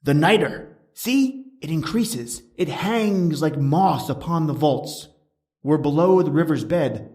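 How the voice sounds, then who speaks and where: A man voicing a cunning, vengeful gentleman who feigns solicitous concern in a gothic horror story. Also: on the high side, lively intonation, slow pace. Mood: coaxing.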